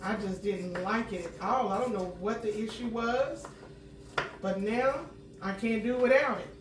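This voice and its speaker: A woman, slightly hoarse from a cold, chatting homily as she cooks and clearing her throat now and then. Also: mid-range voice, a little slow, noisy background. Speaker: home cooking channel host. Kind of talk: casual cooking chat. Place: home kitchen. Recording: roomy kitchen sound from a distant mic.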